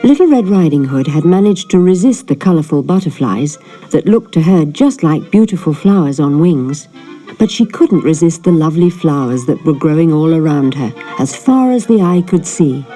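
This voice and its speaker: A woman narrating a children's fairy tale in an expressive storytelling manner. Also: low voice, normal speed, faint music behind, rough recording. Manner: animated storytelling. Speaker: storybook reader.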